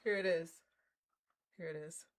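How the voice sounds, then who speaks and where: A woman livestreamer chatting casually with her viewers in an excitable, slangy, informal way. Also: lowish voice, unhurried. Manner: excitable, hyped, effusive; slangy, informal, drops swearing in. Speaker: livestreamer hosting a game stream.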